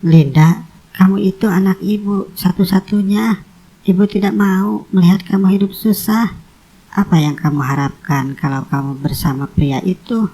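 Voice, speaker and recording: A woman's voice: mid-range voice, fairly even intonation, moderate pace, dark tone, lightly acted, rough recording. A woman playing a proud, wealthy, disapproving middle-aged mother in a cartoon drama, speaking in a haughty, stern manner.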